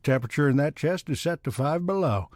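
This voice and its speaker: Man playing a wry small-town shopkeeper, animated delivery. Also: a little high, fairly quick, no echo, clean studio sound, close to an everyday voice. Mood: deadpan. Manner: deadpan, wry. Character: small-town shopkeeper man, dry, unflappable, bossy straight man. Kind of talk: comedy audio drama acting.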